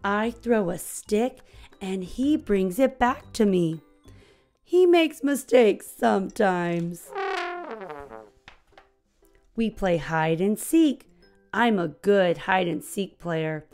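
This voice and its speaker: A woman's voice: mid-range voice, slow, long pauses, soft background music. An American woman in her thirties hosting a children's read-aloud, telling a storybook expressively to young kids. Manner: expressive, clearly paced storytelling for small children.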